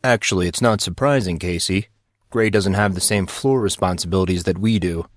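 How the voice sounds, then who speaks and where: A man voicing a composed, matter-of-fact teenage schoolboy who explains things in a measured way, in audiobook dialogue. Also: low-pitched, no echo, clean studio sound, natural voice.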